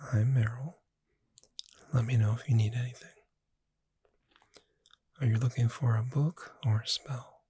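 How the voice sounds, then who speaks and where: A performer voicing a friendly witch bookseller in a soft-spoken ASMR roleplay, gentle, unhurried and lightly wry in a breathy near-whisper. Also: mid-range voice, steady tone, a little hiss or hum, natural voice. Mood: helpful, relaxed.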